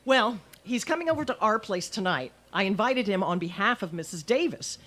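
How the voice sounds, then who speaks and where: A woman playing a quick-witted schoolteacher with a dry, arch manner in an old-time radio comedy. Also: medium pitch, lively intonation, a bit bright. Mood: wry, pleading. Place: live stage performance at a radio history event.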